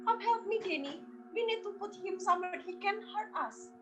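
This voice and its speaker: A woman's voice: extremely high pitch, expressive, moderate pace, over music. A woman playing an anxious, protective mother in a horror readers theater, emotional and pleading. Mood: shaken.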